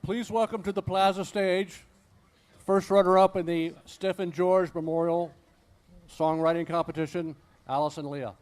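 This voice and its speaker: A man's voice: sits high, fairly even intonation, normal speed, a little mellow. A man announcing acts and rousing the crowd at an outdoor festival stage. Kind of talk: emcee announcement.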